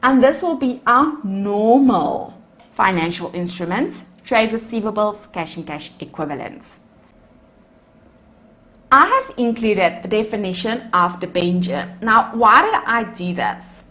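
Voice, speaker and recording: Slow, lively South African woman lecturer. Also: medium pitch. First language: Afrikaans. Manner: explanatory, addresses students as guys. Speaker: accounting lecturer. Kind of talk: recorded lecture over slides. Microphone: voice-over on screen recording.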